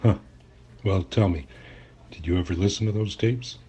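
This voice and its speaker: An actor playing an earnest, curious journalist in an audio drama, speaking and narrating in a conversational, mildly skeptical way. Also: male voice, low-pitched, normal speed, mellow, husky. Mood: attentive. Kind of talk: audio drama acting with first-person narration.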